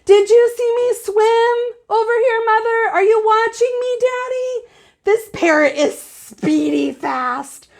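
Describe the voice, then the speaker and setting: A woman's voice: a little slow, vocal fry, a little mellow, exaggerated voice. A woman doing a squealing little girl. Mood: proud. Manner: high, squealing and begging. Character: bouncy, pleading little girl, dramatic and gushy.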